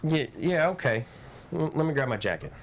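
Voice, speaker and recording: Earnest, frustrated teenage son, animated low voice, woman acting. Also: normal speed, slight background noise. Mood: hesitant. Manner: hesitant, stammering, earnest. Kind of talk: table read of drama script.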